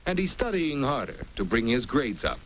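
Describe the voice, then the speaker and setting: A man's voice: mid-range voice, sing-song, normal speed, natural voice. A man narrating an old educational film in an earnest, instructive tone. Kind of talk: scripted 1950s film narration. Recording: muffled old film soundtrack with hiss.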